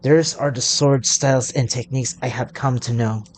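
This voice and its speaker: A woman's voice: a bass voice, fairly even intonation, moderate pace, darkish tone, a little hiss or hum, pitched below the performer's own voice. A woman voicing an earnest young traveling sword fighter from a video game, in a dramatic, declaiming manner. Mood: awed.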